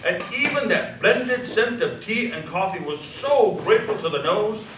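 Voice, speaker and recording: A man narrating a scripted story dramatically, savoring the descriptive words with expressive delivery. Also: high voice, moderate pace. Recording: echoey hall, distant mic, faint noise.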